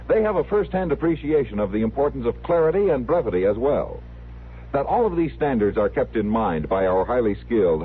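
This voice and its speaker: Very husky male radio announcer. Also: mid-range voice. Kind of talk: scripted vintage radio promo reading. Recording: muffled, narrow old radio recording.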